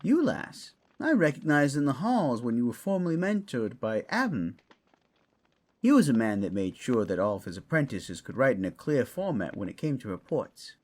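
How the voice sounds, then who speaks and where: An eccentric old-world fantasy lord as acted for an audio roleplay, his pitch swooping widely as he chatters. He is genial and courtly, with a mischievous theatrical flourish. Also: big pitch swings, normal speed, a little mellow. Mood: courteous.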